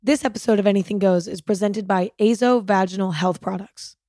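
A woman reads a scripted sponsor ad in a steady, promotional voice. The sound is dry and close. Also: mid-range voice, brisk, no echo. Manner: even, promotional read. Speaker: ad reader. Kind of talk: scripted ad read.

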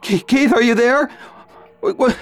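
A man acts out a timid, excitable fellow in a radio drama. His high voice swings widely in pitch, and he stammers slowly through his lines in a flustered way. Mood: panicked. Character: flustered, timid male radio actor thrust into charge. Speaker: actor. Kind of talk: radio play acting.

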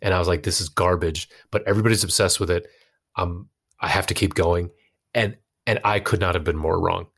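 Low voiced american guy chatting in an interview, rambly, lots of likes and you knows. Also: steady tone, brisk, gravelly, fairly bright tone. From United States. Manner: informal, hesitant phrasing with frequent "like" and "you know". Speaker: interview guest, actor and musician. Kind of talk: casual conversational interview.

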